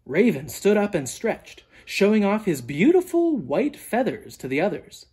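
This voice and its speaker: A storyteller reading a children's book aloud in an animated, deliberate way with dramatic emphasis. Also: medium pitch, slightly rough. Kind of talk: expressive read-aloud narration for children.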